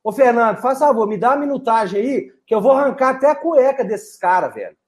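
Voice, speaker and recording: A man's voice: very high-pitched, very animated, quick pace. A man with a Brazilian Portuguese accent on a casual football live stream, teasing and swearing freely as co-host.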